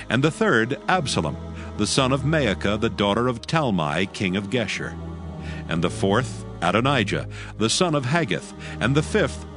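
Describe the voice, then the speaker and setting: A man reading scripture as a scripted audio Bible narrator, solemn and with measured phrasing. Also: lowish voice. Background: background music.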